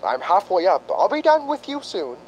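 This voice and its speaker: A pompous male psychic investigator voiced by an actor reports in formal, deliberate phrases. His fairly high voice moves widely in pitch, in the manner of old radio drama, over a faint rumbling noise. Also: huge pitch swings, a little mellow, lo-fi sound. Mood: grave, intense. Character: grave, self-important male psychic investigator doctor.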